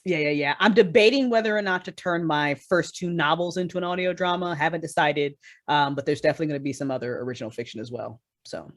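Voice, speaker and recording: A woman's voice: deep voice, quick pace, hardly any pauses. A woman chatting casually as a podcast guest, effusive and swearing freely as she talks about her creative work. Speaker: guest, writer and creator of an audio drama.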